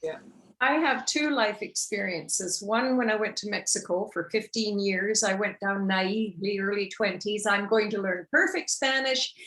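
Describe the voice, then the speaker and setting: A woman's voice: medium pitch, normal speed, a bit bright, rough recording. A Canadian woman with a Canadian accent shares her own experiences in a group discussion. Her voice sits in the middle range, and she pokes fun at herself as she talks. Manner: wry, self-mocking. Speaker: workshop participant. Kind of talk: casual storytelling.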